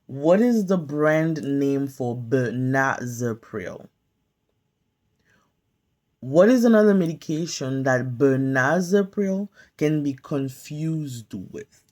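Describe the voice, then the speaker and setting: A woman tutoring pharmacy students, calmly drilling drug names in a slow question-and-pause quiz, recorded on a phone at home. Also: a bass voice. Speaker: pharmacy tech study tutor. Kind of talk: slow flashcard-style quiz review. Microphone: phone camera filming whiteboard.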